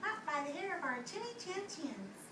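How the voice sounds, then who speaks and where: A woman voicing timid little pigs in a bedtime story with a high, hushed squeak. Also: very animated, moderate pace. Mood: scared. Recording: roomy, distant, noisy home recording.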